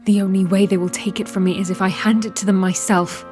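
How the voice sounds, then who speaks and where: A woman playing a resolute, war-weary young princess in a fantasy audio drama, measured, formal and earnest. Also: mid-range voice, flat delivery, darkish tone, soft background music, close to an everyday voice. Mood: urgent, persuasive.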